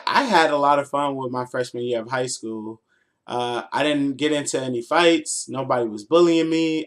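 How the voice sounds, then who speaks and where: Young American man, higher-pitched, casually storytelling. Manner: slangy, animated, playful. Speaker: livestreamer.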